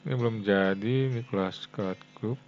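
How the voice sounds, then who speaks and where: Man, low-pitched, casual tutorial host, informal, thinking aloud, muffled recording. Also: fairly even intonation, moderate pace, a little mellow, soft background music. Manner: informal, addresses viewers as friends. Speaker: web design tutorial host. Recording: dull lo-fi mic, background hum.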